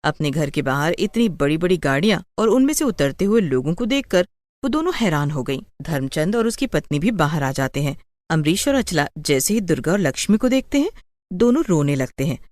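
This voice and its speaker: A woman's voice: low voice, very animated, fairly quick, darkish tone, no echo. A woman narrating a scripted bedtime moral story.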